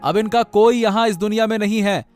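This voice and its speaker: A man plays a principled young village farmer in a cartoon moral tale. He sounds earnest and scolding in a very high male voice, over background music. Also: fairly even intonation, a little fast, darkish tone, close to an everyday voice. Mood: pleading, firm. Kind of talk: Hindi cartoon moral-story dialogue. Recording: music bed under the voice.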